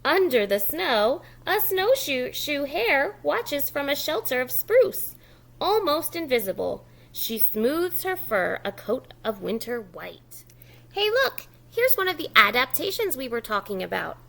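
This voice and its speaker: A woman working as a preschool teacher, reading a picture book aloud expressively and pausing with encouraging, simple prompts for young children. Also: sits high, sing-song, vocal fry, a bit bright.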